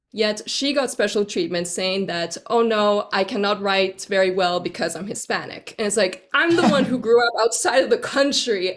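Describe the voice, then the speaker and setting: Woman from Argentina, firm and emphatic, mid pitch, casual debater. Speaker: debate participant.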